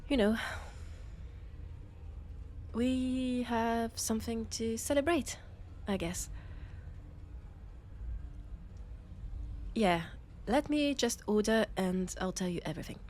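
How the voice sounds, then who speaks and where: A young woman with a French accent playing a laid-off space miner in an audio roleplay, chatting hesitantly with her best friend and trailing off into pauses. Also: fairly high pitch, a little mellow, a little hiss or hum, natural voice. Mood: apologetic.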